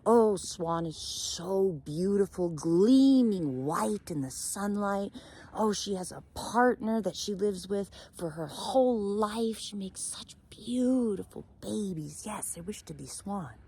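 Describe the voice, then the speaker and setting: A woman's voice: mid-range voice, big pitch swings, takes it slowly, mellow, slightly rough, pitched above the performer's own voice, heavily acted. A woman voicing a self-pitying but hopeful female raven in a story, wistful and wide-eyed, full of exclamations and questions. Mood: yearning.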